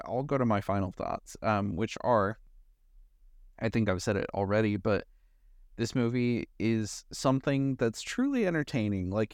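A man's voice: fairly low pitch, a little mellow, no echo, studio quality. A man co-hosting a podcast, chatting casually as he reviews a movie. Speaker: podcast co-host.

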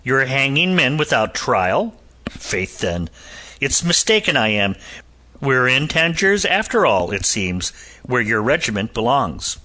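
A man's voice: mid-range voice, sing-song, unhurried, pushed and bright, voice pushed up. A man voicing a composed physician and former soldier with a dry, cutting wit and a lilt. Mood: coolly mocking. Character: cool, sardonic Irish physician and ex-soldier, adult man.

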